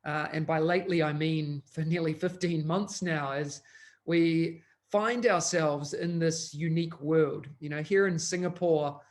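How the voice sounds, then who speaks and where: A man's voice: on the high side, steady tone, measured pace. A man, an education technology consultant, hosting a conference session and talking with many uh and um fillers. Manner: fluent but full of uh and um fillers. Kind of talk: conference presentation.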